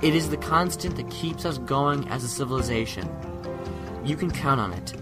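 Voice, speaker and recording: A man's voice: medium pitch, expressive, normal speed, natural voice. A man in a radio drama playing a sadistic kidnapper who taunts in a chatty, morbidly philosophical way. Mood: morbidly fascinated. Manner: mocking, chatty, drawn to musing on death. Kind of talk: amateur radio drama acting. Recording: loud music behind the voice.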